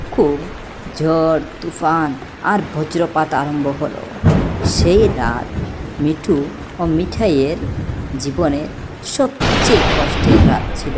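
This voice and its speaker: Female storyteller, low pitch, unhurried scripted children's narration, clear background noise. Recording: noticeable background noise, ambience.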